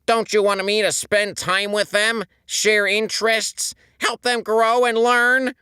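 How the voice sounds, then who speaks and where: A man's voice: sits high, little pitch movement, slow pace, sharp tone, clear, resonant tone, no room sound, studio quality, voice pushed up, heavily acted. A man voicing an irritable, exasperated adult male squid who scolds with indignation in a storybook reading.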